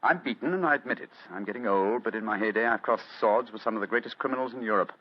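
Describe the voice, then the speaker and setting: An actor playing an aging, cool, quick-witted English detective with a clipped, dry manner, in a radio mystery. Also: male voice, fairly low pitch, natural voice. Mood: feigned resignation. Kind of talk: 1940s radio mystery acting. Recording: dull, muffled old radio recording.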